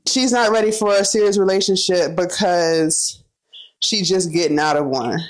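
A woman's voice: fairly low pitch, normal speed, low-quality recording. A young woman vlogger chatting casually as she cooks, telling a gossipy story full of "like" and "you know" while walking through each kitchen step. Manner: cooks while talking, narrating kitchen steps.

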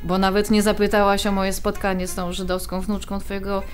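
Actress as an outspoken, exasperated adult daughter. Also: female voice, medium pitch, little pitch movement, fairly quick, faint music behind, lightly acted. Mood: recounting, wounded. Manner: conversational, emphatic, exasperated. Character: adult daughter, outspoken, indignant, bantering with her mother. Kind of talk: naturalistic audio drama acting.

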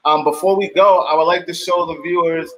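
A man in his thirties hosting a casual video-call interview in a halting way, often repeating words. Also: fairly high pitch, fairly even intonation, a bit bright, poor audio. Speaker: interview host. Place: dim home studio room, video call.

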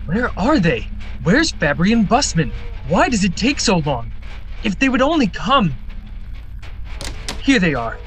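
A man's voice: sits high, big pitch swings, a little slow, long pauses, fairly bright tone, soft background music, full character voice. A man playing an agitated, impatient character in a radio drama, urgent and dramatic. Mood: panicked.

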